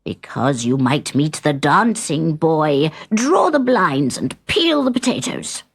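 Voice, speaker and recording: Storybook casting: stern old housemistress played by a woman reader, slow, commanding, low-pitched, forbidding. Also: big pitch swings, vocal fry, breathy edge, full character voice.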